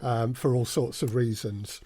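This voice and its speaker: A man's voice: mid-range voice, fairly even intonation, measured pace, mellow, husky. A man speaking as an audio drama producer in an interview, with hesitant, stop-start phrasing. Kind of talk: interview conversation.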